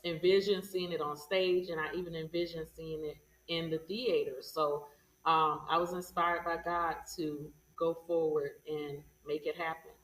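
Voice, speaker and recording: A woman's voice: low voice, level tone, normal speed. A woman giving informative answers as an interview guest. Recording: echoey room, far from the mic.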